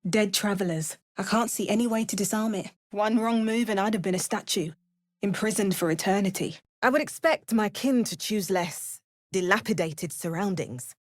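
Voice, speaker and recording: A woman's voice: mid-range voice, moderate pace, no echo, studio quality, natural voice. A woman playing an alert, determined adventurer in a video game, giving short remarks and dramatic spell incantations. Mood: measured, observant. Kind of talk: video game character voice lines.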